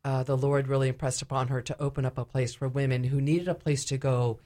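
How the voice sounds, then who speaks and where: A woman speaking as a ministry director and guest in a conversational radio interview, explaining things a little haltingly. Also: extremely low pitch, steady tone, mellow.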